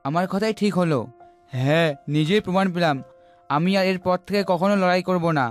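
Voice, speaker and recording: Cartoon sky spirit, played by a man: high-pitched, proud yet thoughtful, music underneath. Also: a little fast, lightly acted. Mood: conciliatory. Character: sun and moon as proud, reflective rival spirits. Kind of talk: animated folk-tale cartoon dubbing. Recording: music behind the voice.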